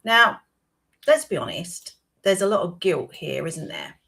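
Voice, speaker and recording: A woman, hosting a live-streamed talk show. Her voice is hoarse and croaky, and she talks in a chatty way, often checking in with "right?". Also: on the low side, big pitch swings, unhurried, a bit bright. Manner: chatty, tags points with "right?". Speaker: wellbeing coach and show host.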